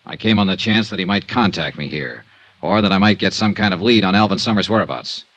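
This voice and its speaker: A man's voice: low voice, quick pace, a bit bright, muffled recording. A man playing a tough, wry American insurance investigator in a 1950s radio serial, clipped and matter-of-fact. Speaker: actor narrating and starring in a radio detective serial. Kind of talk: 1950s hardboiled radio acting.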